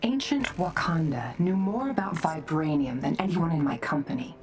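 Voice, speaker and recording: Cold scientist executive: cutting, controlled, deep for a woman, richly inflected, video game drama. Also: animated, normal speed, a little mellow, recorded from a distance. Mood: icy contempt. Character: cold, imperious female scientist executive villain. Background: cinematic game music.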